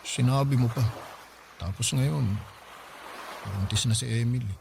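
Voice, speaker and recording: A man's voice: mid-range voice, unhurried, vocal fry, noisy background. An actor playing a hard-up, defensive young Filipino man pleading with his older sister in a radio drama. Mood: downcast. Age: young adult.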